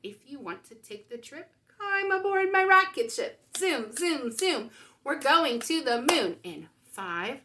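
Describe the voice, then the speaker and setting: A woman reading aloud and talking to young children as a storytime host, with expressive, simple phrasing. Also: sits high, unhurried, a little hiss or hum. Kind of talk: picture-book read-aloud for young children.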